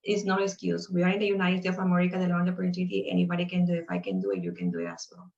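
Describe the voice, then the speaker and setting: Colombian woman, emphatic, gravelly voice. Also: on the low side, racing, a little mellow, lo-fi sound. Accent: Colombian Spanish. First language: Spanish. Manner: emphatic, repeats words while forming thoughts. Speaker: panelist. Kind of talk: panel discussion.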